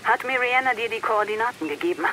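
Radio effect, battle-worn female rebel, bright sharp voice, brisk, urgent, faint noise. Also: a little high. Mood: urgent, weary. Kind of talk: video game audio drama acting.

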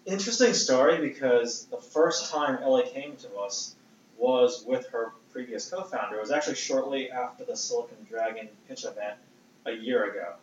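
A man's voice: mid-range voice, moderate pace, a little hiss or hum. Distant, echoey man in an interview. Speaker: startup investor being interviewed. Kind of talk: conversational storytelling in interview. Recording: distant mic, echoey room, dull sound.

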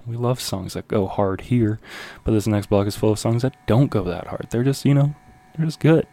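A man presents a music radio show in an easygoing, casual way. His voice sits fairly low, with a very soft, mellow tone. He talks in relaxed slang as he introduces tracks. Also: moderate pace. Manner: laid-back, slangy.

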